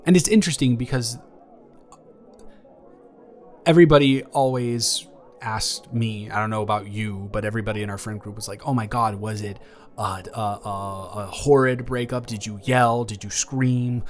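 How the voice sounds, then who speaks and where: A young man playing a caring, awkward ex-boyfriend in an improvised romantic audio roleplay, speaking in a halting, earnest, gently reassuring way. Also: lowish voice, fairly even intonation, lightly acted. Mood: candid, animated. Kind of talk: improvised romantic audio roleplay, intimate one-sided conversation. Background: background music.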